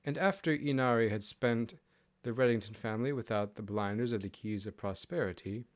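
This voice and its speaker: A man's voice: on the low side, fairly quick. A man reading a story aloud as an audiobook narrator.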